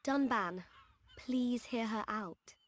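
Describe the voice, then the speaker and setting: A woman voicing a gentle, brave but frail young heroine turned machine in a game, speaking softly and pleadingly. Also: fairly high pitch, slow pace. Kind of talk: scripted game cutscene acting.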